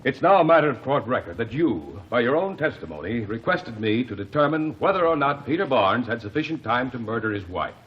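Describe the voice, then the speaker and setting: An actor playing a shrewd, methodical male investigator in a 1940s radio courtroom drama, questioning witnesses in a measured, probing way. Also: mid-range voice, sing-song, natural voice. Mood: accusing. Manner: measured, probing cross-examination. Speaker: defense attorney questioning witnesses. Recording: dull lo-fi old radio broadcast.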